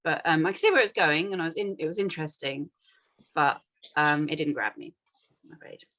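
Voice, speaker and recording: Female panel reviewer, lowish pitch, tentative and meandering, offering apologetic informal notes. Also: fairly quick, fairly bright tone, lo-fi sound. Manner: hesitant, rambling, apologetic. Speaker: script panel reader giving feedback. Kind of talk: informal spoken critique.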